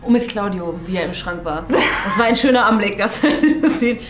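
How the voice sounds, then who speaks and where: Lively, swooping young woman co-host. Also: mid-range voice, brisk. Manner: giggly, agreeable. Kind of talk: casual chat to viewers. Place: small decorated indoor studio set. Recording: roomy indoor echo.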